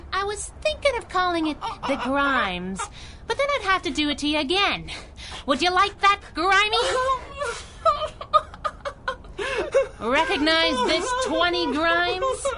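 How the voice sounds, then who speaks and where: Radio drama: wisecracking young female crimefighter, sardonic and tough, higher register, very animated. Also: moderate pace, noisy background. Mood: menacing, taunting. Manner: sardonic, cocky. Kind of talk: 1930s radio adventure drama acting.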